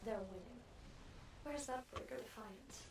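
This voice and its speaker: A woman's voice: on the high side, a little slow. An actress voicing a sly, powerful female video game guide who relishes violence, with a theatrical, taunting delivery. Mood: goading. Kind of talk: video game character voice lines. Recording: distant, echoey game audio under noise.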